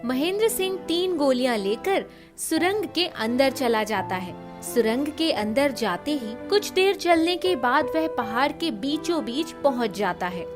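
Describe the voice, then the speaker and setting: High-pitched woman narrating over music. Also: sing-song, unhurried. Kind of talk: scripted children's fairy-tale narration.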